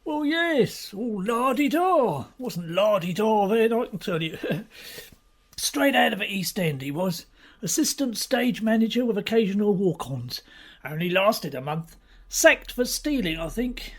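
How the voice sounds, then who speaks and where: Rambling, gossipy theatre stagehand played by an actor, swooping pitch. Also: very animated, unhurried. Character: rambling, chatty old theatre stagehand turned witness, male. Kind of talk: stage-style radio murder mystery acting.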